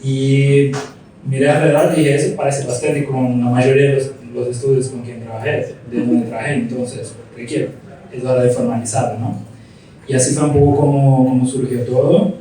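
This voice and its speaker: A man from Brazil with a Brazilian accent mixed with Argentine Spanish, telling his story in a reflective, unhurried way during a casual interview. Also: mid-range voice, dark tone. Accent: Brazilian Portuguese with Argentine Spanish. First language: Portuguese. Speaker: studio founder, interview guest. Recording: echoey room, distant mic, faint hum.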